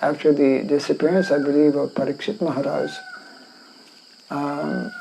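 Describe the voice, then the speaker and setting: A man with a French Canadian accent, a native French speaker from Canada, reading and explaining scripture in a class, halting with fillers and frequent throat clearing. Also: fairly high pitch, level tone, warm tone, a little hiss or hum, low-quality recording. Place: indoor class in a rural town.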